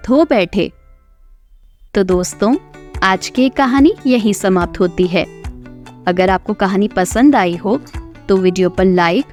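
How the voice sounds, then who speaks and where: A woman hosting a story channel, telling a children's folk tale in a clear, expressive scripted narration. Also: medium pitch.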